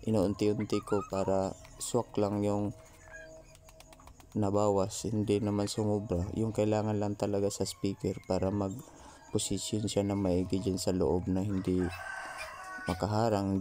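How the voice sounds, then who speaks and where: A man's voice: lowish voice, mellow. A man with a Filipino accent narrating a casual how-to vlog, talking informally to viewers as his buddies. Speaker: vlogger.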